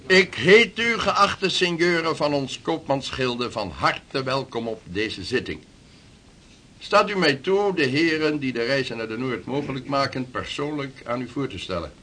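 An actor playing a dignified, ceremonious civic dignitary in a historical radio drama, with formal and courteous speech. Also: male voice, mid-range voice, animated, measured pace, pushed and bright, a little hiss or hum. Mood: ceremonious welcome.

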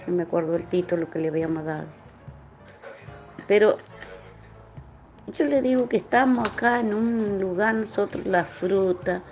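A woman's voice: medium pitch, normal speed, long pauses, a little mellow, low-quality recording. Earnest woman, music behind. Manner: earnest, rambling. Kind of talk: informal reflective interview talk.

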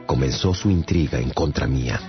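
A man's voice: very deep voice, brisk. An actor playing an earnest, courteous traveler, a giant among tiny people, telling his adventures in a radio drama. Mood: storytelling. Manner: courteous, earnest, expressive storyteller. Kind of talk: storytelling narration in radio drama. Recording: dull sound with music behind.

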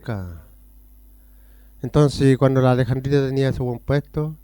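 A man's voice: medium pitch, measured pace, mellow, clean tone. A Chilean man being interviewed on a radio show, telling rambling stories that jump between topics. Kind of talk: casual rambling storytelling.

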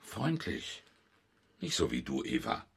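An actor playing a wary, dry, harried fight-night official with a husky voice in a German radio drama. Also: male voice, very deep voice, wildly swooping pitch, slow pace, heavily acted. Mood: dry, cautioning.

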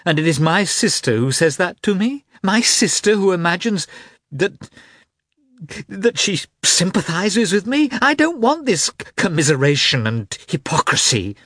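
A man reading a novel aloud, voicing a proud young woman who flares up into rapid, heated outbursts. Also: sits high, very animated, fairly bright tone, no echo, studio quality, voice pushed up, exaggerated voice. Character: young woman, proud, hurt and quick-tempered.

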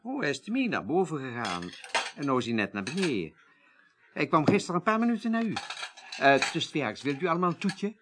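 A man's voice: a little high, very animated, a little fast. A man acting in a Dutch radio play as a polite, chatty hotel waiter who is eager to oblige. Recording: noisy radio drama with clattering dishes.

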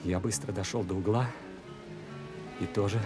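An actor playing a young, obedient Soviet soldier who narrates a night pursuit in a tense, confiding, hesitant manner in a war radio play. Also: male voice, low voice, steady tone, normal speed, lightly acted. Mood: tense, urgent. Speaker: first-person narrator. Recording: music bed under radio play. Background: loud music.

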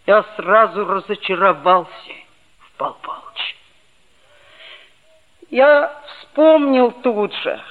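A woman playing a boastful, touchy schoolboy who tells his story expressively and earnestly in a 1960s Soviet radio play. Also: mid-range voice. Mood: indignant, boastful, then aggrieved, earnest. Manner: expressive, self-important, earnest. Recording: dull old radio recording, faint music.

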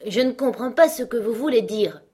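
A woman voicing an inquisitive, easily impatient young girl in a storybook, with proper, expressive phrasing. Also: fairly high pitch, moderate pace, breathy edge, voice pushed up. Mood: impatient, irritated.

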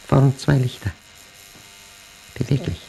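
Gravelly, slow, obsessed man. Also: mid-range voice, mellow, a little hiss or hum, rough recording. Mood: panicked frenzy. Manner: intense, halting delivery in clipped fragments. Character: obsessed, paranoid man battling a machine he fears. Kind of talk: radio drama monologue, tape diary.

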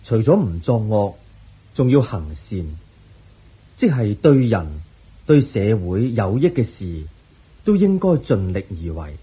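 A man voicing a wise, patient Buddha in a radio drama, explaining teachings calmly in a husky voice. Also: fairly low pitch, unhurried, pauses often. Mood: serene. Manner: calm, patient, instructive. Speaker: narrator and lead actor.

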